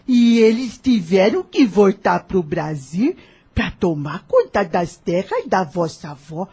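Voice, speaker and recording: An old Brazilian nursemaid from an audio drama, played by a woman, sorrowful and protective. Her voice is very husky and weathered, rather low. She speaks very slowly in rustic folk phrasing, with lively rises and falls. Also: full character voice. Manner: slow rustic folk speech, solemn storytelling.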